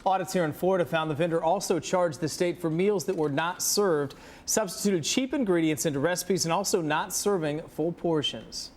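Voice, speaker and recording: A man's voice: on the high side, moderate pace, few pauses, a little hiss or hum. A man presenting morning TV news as an anchor, in a chatty style.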